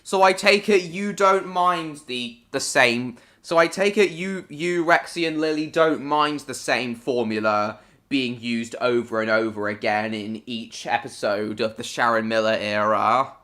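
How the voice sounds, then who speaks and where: Bright-voiced male live streamer. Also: medium pitch, fairly even intonation, measured pace. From Hong Kong. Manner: answers viewers by name, rambling and repeating himself; bursts into swearing at game mishaps. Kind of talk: casual live-stream chat while gaming.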